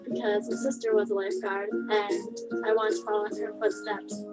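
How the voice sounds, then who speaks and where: A woman's voice: medium pitch, little pitch movement, moderate pace, over loud music. A woman in her twenties giving a friendly, straightforward testimonial as a lifeguard. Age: 20s. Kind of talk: video testimonial.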